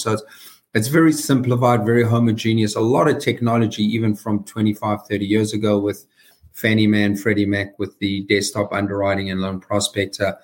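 Lower-voiced South African man, middle-aged or older. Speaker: podcast guest, company founder and chief executive. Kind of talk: conversational interview answers.

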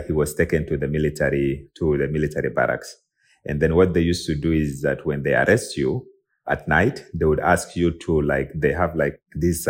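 A young man from South Sudan telling his life story as a podcast guest, halting, with many restarts and fillers. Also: rumbling low. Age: young adult. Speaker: guest, tech and IP lawyer. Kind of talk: personal storytelling in an interview.

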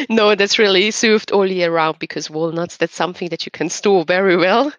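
A woman's voice: on the low side, moderate pace, dry recording, dull audio. Woman explaining, close dry sound. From eastern Switzerland. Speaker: podcast guest, regional tourism representative. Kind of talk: conversational interview.

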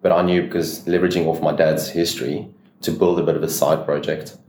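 A man's voice: a bass voice, level tone, fairly quick, a little mellow, reverberant, a little hiss or hum. A South African man in his thirties, a business owner chatting casually as an interview guest, easygoing and loose with his fillers.

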